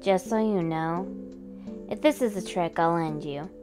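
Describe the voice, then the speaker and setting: A woman playing a tough, blunt, no-nonsense young nurse, firm and matter-of-fact, in an animated comic dub. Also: lowish voice, a little slow, lightly acted. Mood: cool, firm. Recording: faint music behind the voice.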